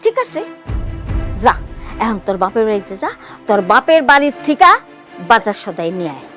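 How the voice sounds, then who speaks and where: Scolding, high-pitched Bangladeshi mother-in-law. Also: big pitch swings, normal speed, fairly bright tone, faint music behind. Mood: bitter, demanding. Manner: scolding, sneering, accusatory. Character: abusive, scornful mother-in-law, bitter village matriarch. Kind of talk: melodramatic TV drama acting.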